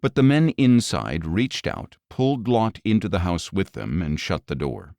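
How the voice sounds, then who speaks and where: A man reading scripture as an audio Bible narrator, with measured, expressive storytelling. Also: sits low, dry recording, studio quality.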